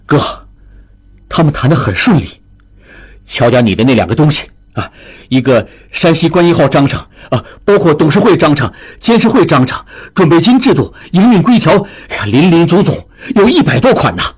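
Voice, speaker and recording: Guy playing worried worked-up little brother in an audiobook, exasperated, husky voice, pitch all over. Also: big pitch swings, normal speed. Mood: agitated, exasperated. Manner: exclamatory, exasperated. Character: agitated, anxious younger brother reporting bad news. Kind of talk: dramatized audiobook character acting.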